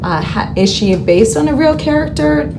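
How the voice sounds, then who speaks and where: A woman's voice: sits high, animated, moderate pace, gravelly. A woman from Canada with an American accent, an actor explaining things at length in a casual interview. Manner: thoughtful, long explaining sentences. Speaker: actor guest. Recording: noisy recording.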